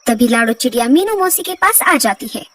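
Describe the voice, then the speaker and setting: A woman's voice: fairly high pitch, lively intonation, brisk, fairly bright tone, distant mic. A woman reading scripted narration for a children's cartoon story.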